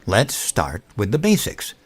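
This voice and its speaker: A childish, oblivious president played by a man in a comedy audio drama. His voice is fairly low but very animated, full of naive earnestness. It is recorded close and dry. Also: a little slow, breathy edge, no room sound, full character voice. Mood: naive, curious. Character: dim-witted, childish, cheerfully oblivious male US president.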